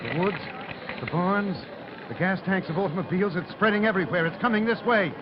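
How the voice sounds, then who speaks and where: Man playing a high-pitched, terrified 1930s radio reporter. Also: fairly quick, close to an everyday voice. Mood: horrified. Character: terrified on-the-spot radio reporter witnessing an invasion. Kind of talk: 1930s radio eyewitness commentary acting. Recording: muffled old broadcast, outdoor noise.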